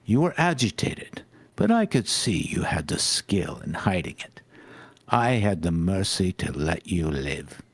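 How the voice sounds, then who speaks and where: A man voicing a smug, menacing captor who gloats formally and deliberately in a story reading. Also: sits low, sing-song, husky, dry recording, heavily acted. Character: smug, menacing male captor gloating over his prisoner.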